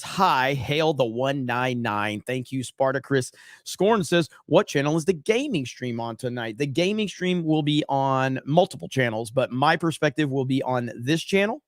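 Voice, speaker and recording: A man hosting a casual livestream, reading viewer messages and shout-outs with energy while his voice is starting to go and crack from overuse. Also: medium pitch, no echo, clean studio sound. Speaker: host.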